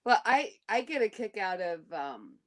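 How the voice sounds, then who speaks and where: A woman from Alabama chatting as a podcast co-host, excitable and gushing. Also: mid-range voice, normal speed. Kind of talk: casual chat.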